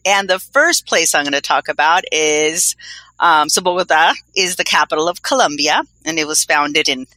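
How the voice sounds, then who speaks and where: Woman, podcast co-host, rambling casual chat, bright tone, dry close sound. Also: deep voice, normal speed, no echo, rough recording. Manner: chatty, rambling, many fillers.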